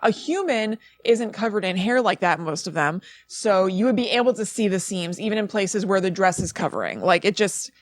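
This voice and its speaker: A woman's voice: medium pitch, expressive, normal speed, few pauses, no room sound, clean studio sound. A woman co-hosting a podcast in a casual, chatty and emphatic conversational way. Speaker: podcast co-host. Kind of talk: casual chat about spooky legends.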